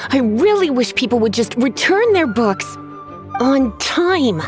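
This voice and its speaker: A woman's voice: a little high, sing-song, soft background music. A woman playing a languid, teasing librarian in a video game, coy and polite with menace underneath. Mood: weary, ominous. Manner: coy, sighing, drawn-out politeness. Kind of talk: English video game voice acting.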